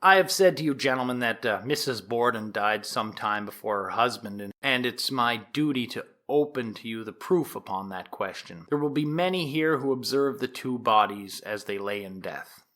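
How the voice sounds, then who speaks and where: An actor playing a solemn, insistent 1890s prosecuting attorney, delivering a formal, deliberate opening statement to a jury in an audio drama. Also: male voice, medium pitch, animated, fairly bright tone, natural voice. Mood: insistent, pointed. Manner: formal, deliberate oratory with emphatic rises. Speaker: actor in an audio theatre production.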